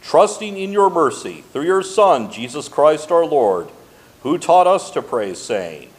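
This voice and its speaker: A man with a fairly high voice leads prayer as a pastor. His pitch moves in animated rises and falls. The delivery is solemn and liturgical, set out in measured, formal phrases with pauses between them. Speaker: pastor leading congregational prayer. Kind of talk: liturgical prayer and blessing, read aloud.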